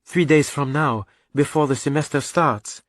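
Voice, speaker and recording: A male narrator plays a mother in an audiobook, lifting the pitch. She is patient, firm and matter-of-fact, in a dry, close recording. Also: moderate pace, dry recording, close to an everyday voice. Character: calm, firm mother of two boys. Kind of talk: audiobook character dialogue.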